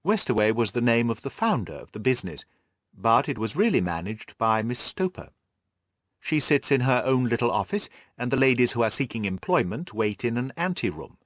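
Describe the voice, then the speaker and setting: A man narrates a literary audiobook in an expressive, scripted style. His mid-pitched voice moves in animated contours, recorded very dry and close. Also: no room sound.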